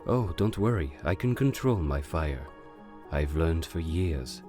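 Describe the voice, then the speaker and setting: A man playing a silver-tongued, gentlemanly con artist of living fire who sprinkles in French endearments, in a romantic audio drama. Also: rumbling low, animated, unhurried, faint music behind. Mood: tender, reassuring.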